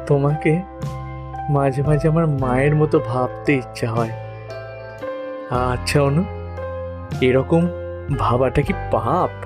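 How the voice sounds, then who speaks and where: Man acting a weary husband, mid-pitched, hesitant, confiding, reflective, audio drama, faint music behind. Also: slow, long pauses, lightly acted. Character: troubled, weary, confiding husband in a Bengali story. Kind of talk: dramatised audio story dialogue.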